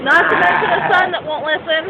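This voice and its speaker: A woman's voice: extremely high pitch, steady tone, a little fast, vocal fry. A woman filming her children playing in the rain, coaxing and playful as she calls out to them. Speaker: parent filming kids. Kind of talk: casual home video narration. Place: outdoors in the rain. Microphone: handheld camera. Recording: loud rain and outdoor noise.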